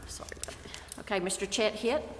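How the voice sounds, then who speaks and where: A woman's voice: medium pitch, a little fast. An American woman chairing a meeting, speaking informally as she handles procedure. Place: indoor council chamber. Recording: very noisy, echoing council chamber with clicks.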